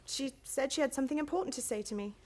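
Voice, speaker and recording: A woman playing a quietly confident young woman held prisoner, speaking earnestly and dramatically in a radio play. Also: high-pitched, expressive, fast talker. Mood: earnest, wounded.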